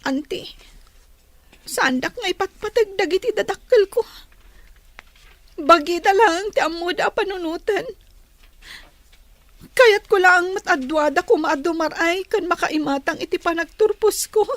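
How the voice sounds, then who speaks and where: A woman's voice: extremely high pitch, a little slow, pauses often, no room sound. A woman playing a wistful, respectful teenage schoolgirl with a Filipino accent, pleading in a radio drama. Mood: sad. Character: wistful teenage schoolgirl longing for united parents.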